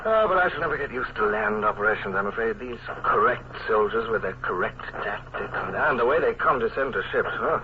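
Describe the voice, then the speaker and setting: A brisk naval commodore, played by a man, talks fast in a wry, clipped officer's way, with little patience for land tactics. His voice is on the low side. The sound is thin like an old phone line, with steady hiss behind it. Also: natural voice. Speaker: narrator and lead. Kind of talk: 1950s radio drama acting. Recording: tinny, hissy old radio broadcast.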